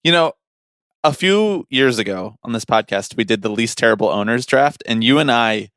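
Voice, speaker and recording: A man with a medium-pitched voice talks casually as a podcast co-host. His delivery is wry and colloquial, nudging the conversation along. The recording is dry, free of room sound. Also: lively intonation, normal speed, fairly bright tone, no room sound.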